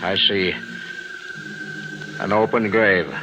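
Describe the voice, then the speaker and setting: An actor playing a grave, measured small-town supervisor, deliberate and solemn, in an old-time radio mystery style. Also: male voice, fairly low pitch, steady tone, muffled recording. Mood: grave, stunned. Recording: noisy old radio drama recording.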